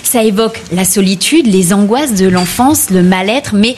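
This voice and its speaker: A woman's voice: mid-range voice, normal speed, a little mellow. Female radio critic, mid-range voice, composed review delivery, slight background hiss. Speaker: theatre critic. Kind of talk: scripted theatre review on radio.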